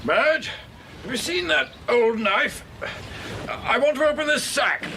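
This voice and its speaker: An actor playing a fussy, anxious older English husband in a radio drama, muttering and exclaiming. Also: male voice, very high-pitched, lively intonation, slow pace, gravelly, sharp tone, a little hiss or hum, full character voice. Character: fussy, anxious older lawn-proud husband, prone to panic.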